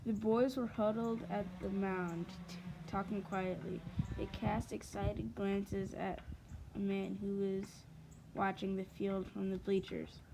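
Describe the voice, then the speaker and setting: Female reader, mid-pitched, halting storybook delivery with repeated words, clear background noise. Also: little pitch movement. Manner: hesitant, repeats and restarts words while reading. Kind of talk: halting storybook read-aloud.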